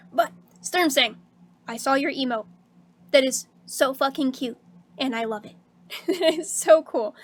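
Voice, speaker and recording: A woman streaming casually, chatting with viewers and laughing often, with a slightly hoarse voice. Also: high voice. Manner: bubbly and chatty, laughs often, many fillers like "um" and "like". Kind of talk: casual stream chat reading out viewer messages.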